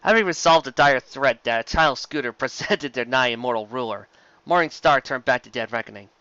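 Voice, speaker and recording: A man narrates a story in a bright, forceful voice. He reads like an amateur, occasionally tripping on a word and going back. The recording is very dry and somewhat dull. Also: medium pitch, brisk, smooth, dry recording. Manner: stumbles and rereads words. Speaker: amateur audiobook reader.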